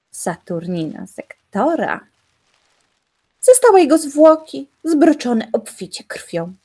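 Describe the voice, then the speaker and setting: An actress playing a young woman who reads out grim newspaper notices in a deliberate, dramatic way. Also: a little high, a little mellow, breathy edge, full character voice.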